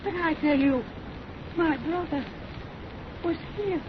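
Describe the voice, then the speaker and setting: An actress playing a distraught, overwrought sister in melodramatic old radio horror style. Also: female voice, sits high, takes it slowly. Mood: alarmed disbelief. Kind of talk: 1930s radio horror acting. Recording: muffled, noisy old radio recording.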